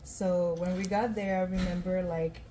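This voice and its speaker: Lady, soft mellow voice, chatty story w/ lots of "you know", bit of background noise. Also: on the low side, fairly even intonation, moderate pace. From France. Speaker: guest dancer. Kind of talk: casual interview talk. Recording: faint event noise.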